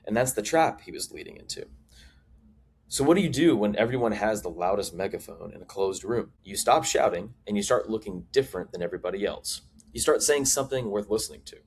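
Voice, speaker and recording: A man presenting a scripted explainer monologue as a marketing advisor, with emphatic, deliberate delivery. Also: lowish voice, expressive, a little hiss or hum.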